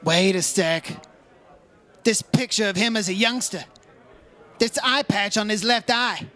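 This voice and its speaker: A man's voice: sits high, unhurried, bright, forceful tone. An actor playing a shrewd, brash insurance investigator in a radio detective play, slangy and clipped in his talk. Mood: probing, decisive. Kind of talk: old-time radio detective acting.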